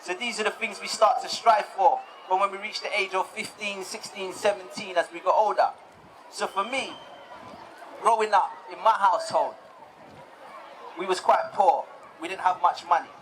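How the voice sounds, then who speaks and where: High-voiced British-raised man, relaxed telling. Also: moderate pace, a little hiss or hum, poor audio. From United Kingdom. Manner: relaxed, repeats words while speaking. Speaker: speaker giving a talk. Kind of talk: personal testimony talk.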